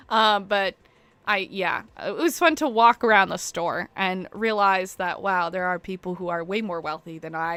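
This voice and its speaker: A woman's voice: medium pitch, pushed and bright, no echo. A young woman streamer, chatting casually and rambling, self-deprecating and laughing often. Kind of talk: casual chat while waiting on a stream.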